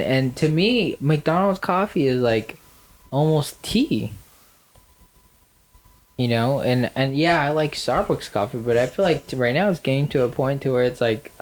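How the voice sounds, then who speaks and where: A man with a nasal voice co-hosting a podcast, chatting casually with lots of fillers and dry sarcasm. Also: mid-range voice. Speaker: podcast co-host. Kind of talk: casual chat.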